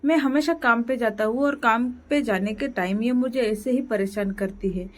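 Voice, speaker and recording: A meek henpecked crow husband frets and pleads in a weary tone, as a woman acts him out. He sounds worn down and anxious, a gentle storybook figure. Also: a little high, normal speed, natural voice. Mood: fretting.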